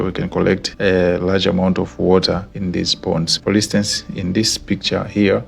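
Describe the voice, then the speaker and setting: Deep gravelly man explaining a lesson. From Tanzania. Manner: earnest, explanatory, repeats points for clarity. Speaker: educator explaining water engineering. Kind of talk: narrated slideshow lesson.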